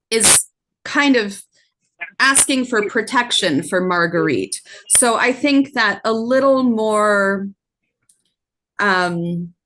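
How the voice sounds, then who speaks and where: A woman, a native speaker of French, speaks as a music competition judge. Her pace is slow and her pitch sits in the middle. She gives measured, constructive critique over a video call. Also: a bit bright, slight background noise, low-quality recording. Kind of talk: spoken critique to a contestant.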